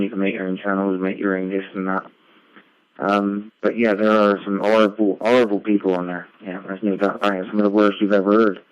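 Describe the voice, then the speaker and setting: Low-voiced man on muffled radio. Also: dry recording. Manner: rambling, frequent tag questions. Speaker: amateur radio operator. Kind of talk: casual ham radio chat. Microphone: radio link played through a computer.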